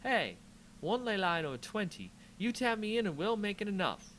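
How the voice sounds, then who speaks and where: A man's voice: sits high, very animated, moderate pace, fairly bright tone, slight background noise, pitched above the performer's own voice. A man voicing a cocky, eager young woman fire mage in a dramatic story reading. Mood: eager, defiant. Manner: brash, eager.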